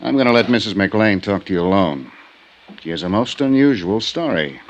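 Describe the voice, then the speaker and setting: Actor as courteous insurance executive, noisy old radio. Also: male voice, on the low side, expressive, highs cut off, natural voice. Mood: courteous, businesslike. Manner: polite, measured. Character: courteous, businesslike male insurance office executive. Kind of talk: 1950s radio drama acting. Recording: noisy vintage radio recording.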